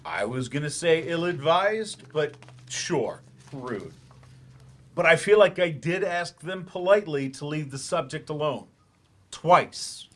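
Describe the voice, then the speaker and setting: A man's voice: on the high side, animated, slow, fairly bright tone. A male actor in a staged play reading, playing a frustrated, defensive therapy patient who talks in a hesitant, grudging way. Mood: defensive, wistful. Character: defensive, frustrated man in therapy, once-promising writer turned teacher.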